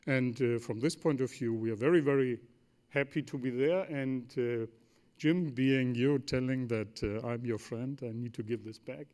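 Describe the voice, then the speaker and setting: A German man, a native German speaker, talks as an executive on a forum panel in a German accent. His voice sits at a medium pitch. He is emphatic, building points from short, repeated phrases and rhetorical questions. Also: fairly even intonation, normal speed, darkish tone. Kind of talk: semi-formal panel talk.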